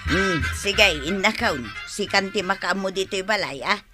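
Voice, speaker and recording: A woman with a northern Filipino accent playing a hardworking, expressive village wife and vendor in a comedic radio drama. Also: medium pitch, normal speed, over loud music, lightly acted. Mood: nagging. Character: hardworking, nagging village wife selling rice cakes.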